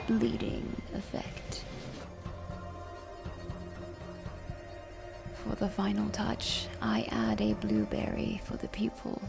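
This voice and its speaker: Woman as macabre vampire countess hosting ASMR cookery: genteel, very unhurried whisper, music underneath. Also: mid-range voice. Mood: sinister, coy. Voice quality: breathy whisper with creaky fry. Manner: slow, deliberate, genteel. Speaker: host. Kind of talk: ASMR recipe narration.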